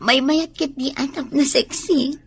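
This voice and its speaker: A woman's voice: high-pitched, measured pace, vocal fry, a little hiss or hum. An actress with an Ilocano accent playing a disdainful, grumbling young woman in a radio drama. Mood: casual, sly.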